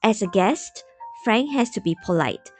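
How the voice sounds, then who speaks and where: A woman reading a story aloud as an expressive storybook narrator. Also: mid-range voice, measured pace, soft background music. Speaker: narrator, fan story reader.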